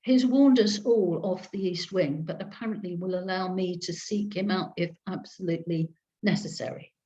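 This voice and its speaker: Low-voiced woman storyteller, dull call audio. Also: normal speed, mellow. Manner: wry, sardonic. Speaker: storyteller reading own story. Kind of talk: expressive first-person story narration. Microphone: video call.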